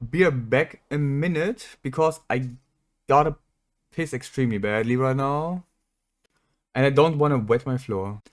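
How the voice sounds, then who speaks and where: A man's voice: mid-range voice, unhurried. A man chatting casually as he streams a game, blunt and sweary in his rambling. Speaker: game livestreamer. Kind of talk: casual gaming stream chat.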